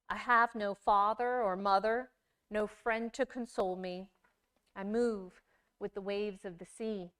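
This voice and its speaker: A woman giving a scripted storytelling performance, with deliberate, measured phrasing and dramatic pauses. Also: mid-range voice, clear, resonant tone. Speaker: monologue performer.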